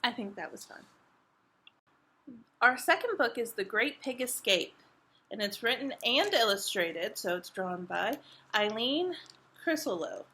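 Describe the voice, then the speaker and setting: A woman hosts a story time for toddlers. Her voice is high and bright, swinging widely in pitch. She reads in simple, emphatic phrases with a sing-song lilt, taking her time. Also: very animated, a little slow, long pauses. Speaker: children's library story-time reader. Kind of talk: animated picture-book read-aloud for toddlers.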